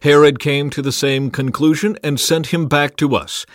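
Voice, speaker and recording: A man voicing a forceful, exasperated Roman governor addressing a crowd in a dramatized scripture reading, with loud, emphatic questioning. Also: on the high side, steady tone, moderate pace, no room sound, studio quality, pitched above the performer's own voice, natural voice. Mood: firm, declaring.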